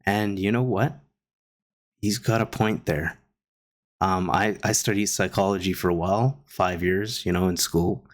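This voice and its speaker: A man from Guyana giving a casual opinion monologue, rambling with many pauses and restarts. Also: sits low. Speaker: commentator reacting to online drama. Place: Canada.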